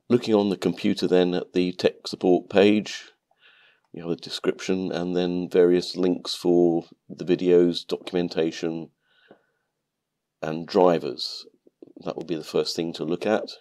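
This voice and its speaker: Deep-voiced man, nasal with a cold. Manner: calm, methodical explaining. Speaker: maker channel host. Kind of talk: step-by-step tutorial narration.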